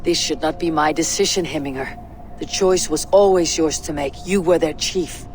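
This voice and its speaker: A woman playing a stern, level-headed Viking warrior in a video game, speaking in a grave, measured, commanding way. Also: low voice, a little mellow, a little hiss or hum, lightly acted. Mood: solemn, searching. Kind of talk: dramatic video game acting.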